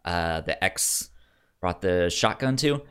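Man, podcast host, very lively intonation, halting stammery delivery, casual film chat. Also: low-pitched, clear, resonant tone. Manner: stammering, halting, repeating words.

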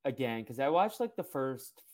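A man in his twenties, a podcast host whose first language is Portuguese, chatting casually with relaxed, rambling talk full of fillers. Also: medium pitch, moderate pace. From Brazil. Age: 20s. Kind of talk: casual interview chat.

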